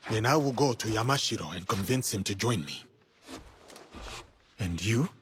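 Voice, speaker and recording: A loyal samurai warrior in a video game drama. The voice is low and very husky, with a solemn, formal manner. He sounds earnest and dutiful. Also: expressive, normal speed. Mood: resolute.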